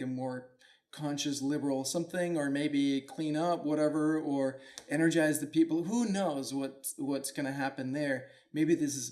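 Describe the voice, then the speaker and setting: A man from Denmark, hosting his own show in an earnest, reflective, casual way. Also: a little high. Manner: earnest, reflective, loose with fillers like "like". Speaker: podcast host. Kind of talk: solo motivational talk.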